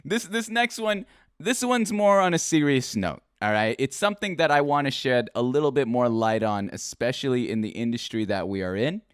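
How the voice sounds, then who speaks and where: A man's voice: medium pitch, lively intonation, normal speed, clean tone, no room sound, clean studio sound. A man hosting a podcast, upbeat and joking, swearing freely and talking to his guest like a pal. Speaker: podcast host. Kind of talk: casual podcast interview.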